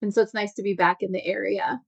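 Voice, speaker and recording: A woman's voice: medium pitch, steady tone, vocal fry, slightly rough. A woman in her thirties with a Chicago accent, chatting loosely and easily as an interview guest. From Wisconsin. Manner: loose, chatty, quick to laugh along. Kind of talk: casual interview chat.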